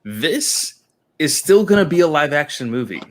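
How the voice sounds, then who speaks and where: A man's voice: a little high, sing-song, moderate pace. A man co-hosting a casual podcast, enthusiastic, explaining his views and putting questions to the others. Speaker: podcast co-host.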